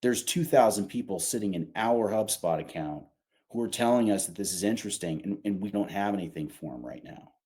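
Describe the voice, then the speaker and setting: A man's voice: fairly low pitch, a little fast, darkish tone, breathy edge. A man talking informally and emphatically, explaining his company's product in a conversational way with casual asides.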